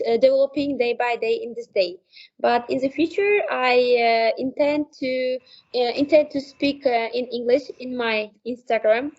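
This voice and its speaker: A young woman with an Uzbek accent, her voice high and gravelly with a lot of fry. She speaks haltingly in English and fills the gaps with "uh" while she looks for words. The audio sounds dull and lo-fi. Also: darkish tone. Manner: halting, searching for words with many fillers. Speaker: guest, medical student or doctor. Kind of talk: casual interview conversation.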